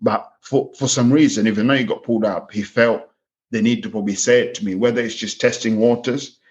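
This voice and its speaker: A man's voice: fairly low pitch, steady tone, brisk. A man in his thirties with a Kenyan accent, speaking as a guest in a candid interview, earnest and reflective, often restarting his sentences. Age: 30s. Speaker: farm manager guest. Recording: slightly dull lo-fi sound.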